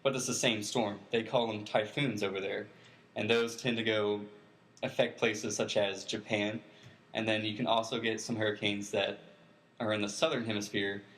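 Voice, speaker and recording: A man's voice: lowish voice, flat delivery, normal speed, fairly bright tone, poor audio. A man speaking as an expert guest, giving informal, plain-spoken explanations step by step in an interview.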